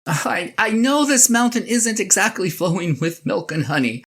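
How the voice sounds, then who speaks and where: A woman's voice: mid-range voice, lively intonation, normal speed. A woman playing a flustered, self-doubting shepherd husband in an audio drama, stammering earnestly as the character confides in a spouse. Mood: hesitant, earnest. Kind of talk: one-sided audio drama monologue, conversational and comic. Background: plucked guitar music.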